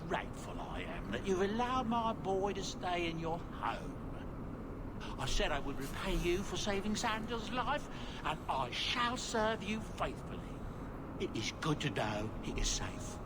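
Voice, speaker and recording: A courteous male dwarf merchant talks with swooping, animated pitch. He is polite, deferential and eager to serve. The game audio has loud noise all around him. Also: unhurried. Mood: grateful, deferential. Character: courteous, obliging middle-aged dwarf merchant and father. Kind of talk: video game voice acting.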